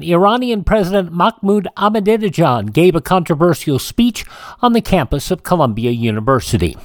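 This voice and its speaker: A radio host reading this-day-in-history items in an informal scripted style, halting at times and correcting small stumbles. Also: mid-range voice, no echo, studio quality.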